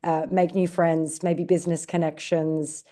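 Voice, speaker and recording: Australian woman, low and warm, relaxed conversational podcast guest. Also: a little slow. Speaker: guest, marketing director. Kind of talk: podcast interview.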